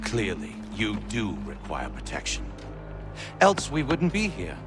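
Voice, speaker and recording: An actor playing a cruel, smug aristocratic villain, silky and mocking in a game cutscene. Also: male voice, lowish voice, huge pitch swings, measured pace, over music. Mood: mocking menace. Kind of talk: video game cutscene acting.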